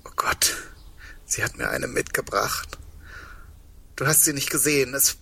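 Husky, shaken, grieving man. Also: mid-range voice, wildly swooping pitch, measured pace, pushed and bright, in a whisper, full character voice. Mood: anguished. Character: shaken, grieving man facing the end of the world. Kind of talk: intimate radio play dialogue.

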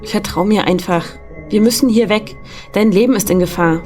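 Female ally actress, audio drama thriller. Mid pitch, urgent, commanding, insistent, music underneath. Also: normal speed, natural voice. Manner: insistent, pressing. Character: urgent, determined woman ally leading an escape. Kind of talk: German audio drama acting.

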